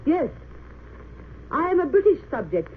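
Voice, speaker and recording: An actress playing a dignified, composed English nurse, earnest and measured, in an old radio drama. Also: female voice, sits high, sing-song. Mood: quietly defiant. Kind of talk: 1930s-40s radio drama acting. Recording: noisy narrow-band old radio recording.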